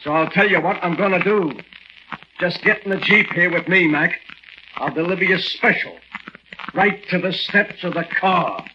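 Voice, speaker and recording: Muffled noisy 1940s radio, guy doing a cocky army mp, highish voice, slangy and bossy. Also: moderate pace, natural voice. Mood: mock-friendly. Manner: slangy, mock-friendly, bossy. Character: brash, sardonic tough-guy army military policeman. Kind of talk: 1940s radio drama acting.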